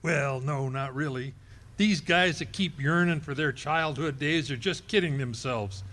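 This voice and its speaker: Male actor as a high-voiced, blustering, stammering comic husband in a 1940s radio-style play. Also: unhurried, fairly bright tone. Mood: reminiscing. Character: boastful bumbling middle-aged husband. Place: ballroom stage performance.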